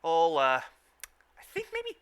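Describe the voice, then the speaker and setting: A man hosting an informal fan review, speaking haltingly and often repeating and restarting his phrases. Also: a little high, huge pitch swings, fairly bright tone, clear, resonant tone. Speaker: review show host. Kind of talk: informal fan commentary and recap.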